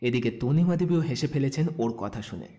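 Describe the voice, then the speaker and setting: A man's voice: mid-range voice, animated, a little fast, mellow. A man narrating a scripted audio story as the narrator between lines of dialogue. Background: faint music.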